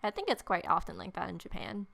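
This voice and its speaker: A woman's voice: fairly low pitch, very animated, quick pace, gravelly, fairly bright tone. A young woman reading visual novel narration aloud, dropping in casual asides as she plays. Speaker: game player reading aloud. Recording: music playing behind.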